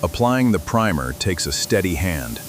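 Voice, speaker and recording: A man's voice: sits low, sing-song, normal speed, over music. A man giving scripted narration as the narrator of a restoration video. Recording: noticeable background noise.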